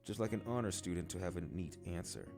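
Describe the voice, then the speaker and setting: A cocky older schoolboy bully, acted out by a male reader in a storybook tale. He is low-pitched and lively, taunting and condescending in slangy phrases. Guitar music plays behind him. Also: fast. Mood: menacing condescension. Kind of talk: storybook character dialogue.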